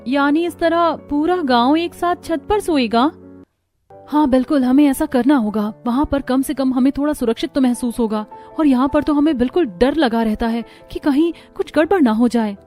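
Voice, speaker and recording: A woman's voice: high-pitched, normal speed, darkish tone, soft background music, close to an everyday voice. A woman playing an anxious, agreeable village housewife in a cartoon story, chatty and earnest. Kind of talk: Hindi cartoon story acting.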